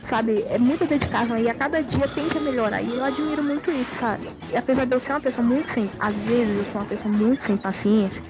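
A young woman talks with viewers in a chatty, playful way during a gameplay video. Her voice sits high, and she speaks to the audience directly. Video game music is clearly heard behind her. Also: fast, hardly any pauses, poor audio. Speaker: guest co-player. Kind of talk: casual chat over gameplay.

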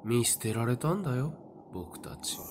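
Audio drama seer male actor: cynical, provocative young man, mid-pitched with lively swings, faint noise. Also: moderate pace, lightly acted. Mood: mocking. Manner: nonchalant, taunting. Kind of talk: Japanese audio drama acting.

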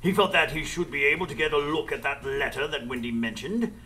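An actor playing an earnest, formal gentleman sidekick, narrating theatrically in a radio play. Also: fairly high pitch, a little fast.

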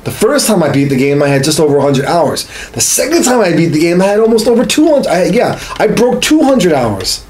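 A man's voice: on the high side, very animated, brisk, hardly any pauses, a little mellow, slight background noise. A man in his thirties talking casually and emphatically about games, swearing and repeating himself as he goes. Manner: profane, emphatic, repetitive. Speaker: podcast host. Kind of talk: casual gaming chat. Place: small home studio room, indoors.

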